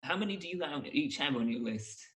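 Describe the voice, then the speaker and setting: A man's voice: a little high, lively intonation, fairly quick, a bit bright, a little hiss or hum. A man hosting a podcast in casual, chatty style, playfully repeating phrases and putting rhetorical questions to listeners. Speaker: podcast host.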